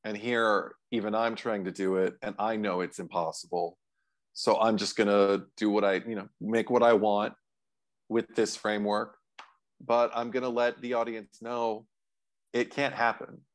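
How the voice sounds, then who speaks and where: A man's voice: on the low side, little pitch movement, moderate pace. A man in his forties talking casually as a co-host discussing films on a video call from a room at home.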